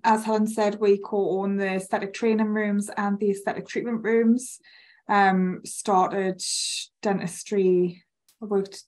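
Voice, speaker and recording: A woman. Her pitch is average for a woman. She talks informally, as a co-presenter on an online webinar. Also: darkish tone. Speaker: dental hygienist co-presenting a webinar. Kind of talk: informal self-introduction in a webinar.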